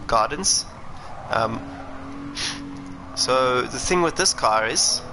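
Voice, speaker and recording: A South African man, a racing driver, chatting and thinking aloud while streaming a sim racing game. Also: medium pitch. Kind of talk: live gameplay commentary. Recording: game engine noise underneath. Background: racing game car sounds.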